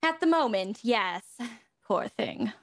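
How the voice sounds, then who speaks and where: A woman's voice: mid-range voice, very animated, takes it slowly. A woman playing a haughty, leisured socialite houseguest in a radio mystery, arch, airy and evasive. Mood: breezy, arch. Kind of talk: radio mystery acting, live online table read.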